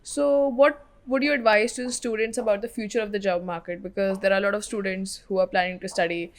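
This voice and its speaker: High-pitched, quick-talking male interviewer. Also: steady tone. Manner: encouraging listener, constant soft backchannel murmurs. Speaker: podcast host, interviewer. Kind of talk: podcast interview questions.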